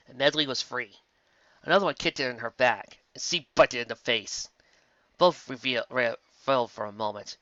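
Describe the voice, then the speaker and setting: A man narrating a fan fiction audiobook, with a lively storytelling delivery. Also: mid-range voice, dry recording, lo-fi sound. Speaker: audiobook reader.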